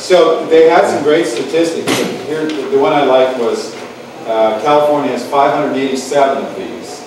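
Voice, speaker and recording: A man running a casual lecture session, rambling cheerfully from one point to the next. He sounds far from the microphone in a very echoey hall, and there is clear background noise. His pitch sits in the middle range. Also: moderate pace. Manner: rambling, upbeat, full of restarts. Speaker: moderator introducing speakers. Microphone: distant room mic.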